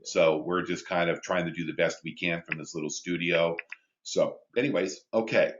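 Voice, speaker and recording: Deep booming guy hosting a training call, roomy little studio, kinda muffled sound. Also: steady tone, normal speed, a little hiss or hum. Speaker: host reading viewer questions. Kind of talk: live webinar training talk. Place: small studio.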